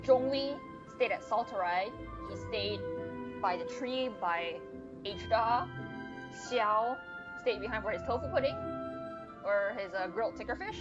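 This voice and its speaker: A woman's voice: fairly high pitch, expressive, a little slow. A woman chatting casually as she streams a game, reacting aloud in informal, slangy language. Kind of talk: casual live gameplay commentary. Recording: dull lo-fi sound, game music behind. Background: game music.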